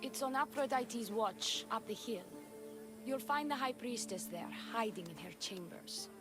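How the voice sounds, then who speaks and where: Composed priestess over loud music. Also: female voice, fairly high pitch, animated, measured pace. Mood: businesslike. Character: priestess and plotter, younger sister, composed and flirtatious. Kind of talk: scripted video game dialogue.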